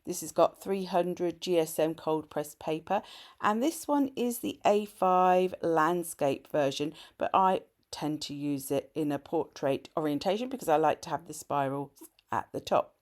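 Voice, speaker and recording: A woman in middle age talks through her art journaling voice-over, her voice a touch hoarse from a cold and sitting a little low. She explains things in a chatty, friendly way, often pausing to correct herself mid-sentence. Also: lively intonation, darkish tone. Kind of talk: casual craft tutorial voice-over.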